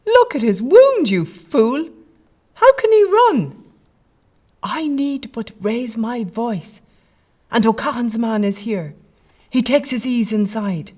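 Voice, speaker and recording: A woman's voice: on the high side, lively intonation, takes it slowly, long pauses, a little mellow. A woman playing a brave, tender young Gaelic clanswoman in a period audio drama, with earnest, poetic phrasing. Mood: defiant.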